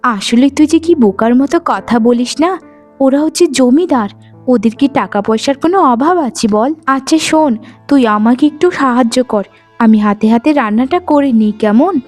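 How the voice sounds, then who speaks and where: A woman voicing a kind, trusting young wife and devoted sister in a melodramatic cartoon, earnest and expressive. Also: fairly high pitch, moderate pace. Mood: chiding, friendly. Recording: music bed under the voice.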